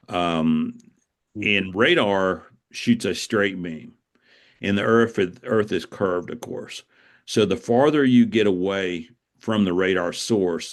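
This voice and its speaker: A man with a low-pitched voice talks as an author in an interview. He explains things step by step, in an informative and conversational manner.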